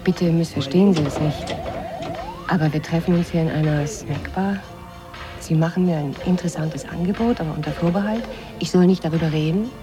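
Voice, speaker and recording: Frank professional woman actress, low-pitched, sceptical and direct, radio play, music underneath. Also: a little fast, lightly acted. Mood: wary. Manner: direct, reasoning. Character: sensible, frank female media professional, sceptical. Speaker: actor. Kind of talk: 1980s German radio drama acting.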